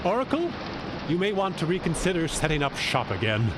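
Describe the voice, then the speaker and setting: A man's voice: on the high side, sing-song, a little fast. A man playing a chatty, knowing god who explains things emphatically in an audio drama. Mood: explaining. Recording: noisy outdoor ambience behind.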